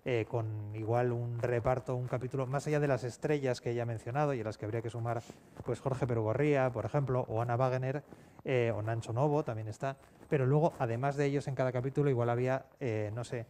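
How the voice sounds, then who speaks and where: A man's voice: medium pitch, fairly even intonation, fast, mellow. A man speaking informally as a writer on a discussion panel. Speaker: panelist, audio drama writer. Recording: noisy live event room.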